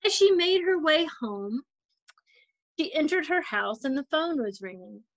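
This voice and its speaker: High-voiced woman reading a children's story. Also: big pitch swings, a little slow, a bit bright, no echo, lo-fi sound. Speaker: volunteer storytime reader.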